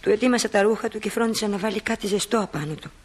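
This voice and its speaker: A woman's voice: mid-range voice, slightly rough, close to an everyday voice. A woman playing a practical, fussing middle-aged mother and wife in a radio play, with a matter-of-fact, scolding manner. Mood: anxious.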